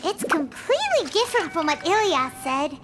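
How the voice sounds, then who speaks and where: An extremely high-pitched little fairy sidekick from a video game. The delivery is chirpy and inquisitive, bouncing widely up and down like an eager child. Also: female voice, big pitch swings, moderate pace, loud music behind the voice. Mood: curious. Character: small, childlike, high-voiced floating fairy companion.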